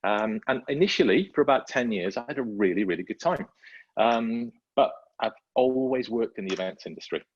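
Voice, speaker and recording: A man's voice: mid-range voice, animated, brisk, husky, dry recording, dull audio. A man in his fifties from North Wales, talking casually as a podcast guest, rambling with lots of fillers and restarts. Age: 50s. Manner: rambling, self-deprecating, many fillers and restarts. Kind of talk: casual conversational interview.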